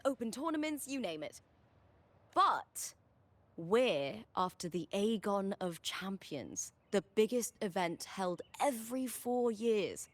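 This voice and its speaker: A woman's voice: mid-range voice, no echo, close to an everyday voice. A woman voicing a confident, spirited young gladiator and friendly battle partner in a video game.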